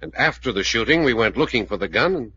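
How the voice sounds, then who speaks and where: An actor playing a steady, blunt investigator in 1940s radio drama, laconic and plain-spoken, with an American accent. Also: male voice, mid-range voice, quick pace, breathy edge, no echo, heavily acted. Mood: cool, probing. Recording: dull old radio recording.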